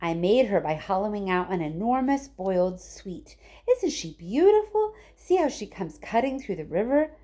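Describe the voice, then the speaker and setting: A woman voicing a gleeful, excitable, whimsical chocolate factory owner, an older man, in a storybook reading full of exclamations. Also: mid-range voice, normal speed, close to an everyday voice. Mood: proud.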